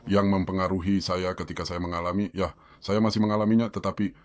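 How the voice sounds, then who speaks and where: A man describing his own stroke recovery, speaking haltingly with frequent fillers. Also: sits low, level tone, dry recording. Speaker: stroke survivor. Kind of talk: personal testimony.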